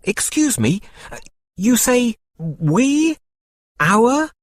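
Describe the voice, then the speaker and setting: A man voicing a sensible, kindly male water rat in a storybook reading, speaking in a measured way. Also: very high-pitched, big pitch swings, dry recording, studio quality, pitched above the performer's own voice, full character voice. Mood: calm. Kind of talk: audiobook character voice.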